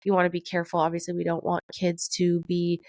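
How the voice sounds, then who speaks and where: Young woman, nasal and stuffed-up from a cold, lowish voice, dry close sound, chatty podcast host. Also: monotone, fast talker, darkish tone, dry recording. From Canada. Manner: chatty, conversational, reads articles aloud with asides. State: sick with a nasal, congested voice. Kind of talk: casual news commentary podcast.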